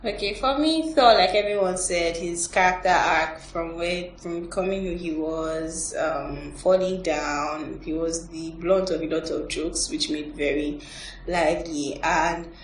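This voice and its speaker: A woman's voice: on the low side, a little slow. A woman hosting a casual panel review and sharing her own opinions in conversation. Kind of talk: casual film review discussion. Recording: echoey room, distant mic, faint noise.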